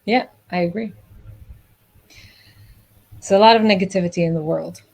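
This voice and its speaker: A woman's voice: fairly low pitch, normal speed, creaky, poor audio. Chatty woman host from Israel. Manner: chatty, animated, laughs easily. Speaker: podcast host. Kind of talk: casual interview chat.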